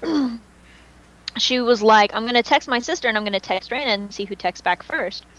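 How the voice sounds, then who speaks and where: A woman's voice: a little high, moderate pace, a bit bright, lo-fi sound. Chatty, opinionated woman in casual talk. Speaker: fan podcast host. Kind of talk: casual fan chat.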